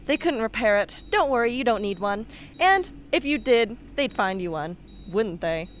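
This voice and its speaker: A woman's voice: fairly high pitch, animated, normal speed, natural voice. A woman playing a blunt, sardonic, tough young patient in an amateur radio drama, with a mocking delivery. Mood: blunt, scornful. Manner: sarcastic, mocking. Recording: noisy amateur radio play recording.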